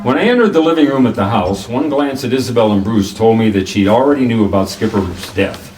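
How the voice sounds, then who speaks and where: A wry, hardboiled private detective played by a male actor in an old-style radio play, self-assured and swinging widely in pitch. The sound is roomy and a little away from the microphone. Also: expressive, brisk, over loud music, natural voice. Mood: wry narrating. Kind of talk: 1940s radio detective drama acting with first-person narration. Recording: roomy echo, distant from the microphone.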